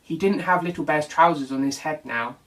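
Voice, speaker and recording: A man reading a children's bedtime story as an expressive narrator. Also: on the high side, a little fast. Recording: voice distant from the mic.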